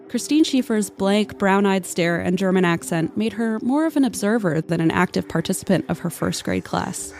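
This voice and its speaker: A woman's voice: mid-range voice, vocal fry, darkish tone. Woman telling a mock-dramatic story over music. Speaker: podcast storyteller. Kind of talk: scripted comedic storytelling narration. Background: music under the voice.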